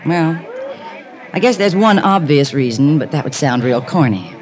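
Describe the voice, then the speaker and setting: A woman's voice: deep voice, lively intonation, moderate pace, a little mellow, a faint crowd, slight background noise. An actress playing a sardonic young party girl with a bored, dry manner in a 1950s radio drama. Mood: jaded, wistful. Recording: 1950s radio broadcast with music.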